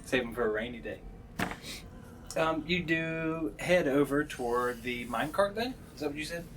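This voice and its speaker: A man running a tabletop game, improvising scene descriptions in a halting way as he searches for words. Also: fairly high pitch, lively intonation, noisy background. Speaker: game master.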